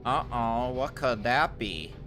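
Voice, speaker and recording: A man's voice: mid-range voice, animated, takes it slowly, a bit bright, soft background music. A man doing casual, slangy livestream commentary while playing a game, in a playful manner.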